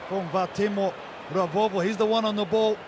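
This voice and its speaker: Rugby analyst man over loud crowd din. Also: sits high, steady tone, brisk. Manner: chatty analysis of tactics, addressing his partner directly. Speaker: expert co-commentator. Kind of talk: live rugby sevens analysis. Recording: commentary over loud stadium noise.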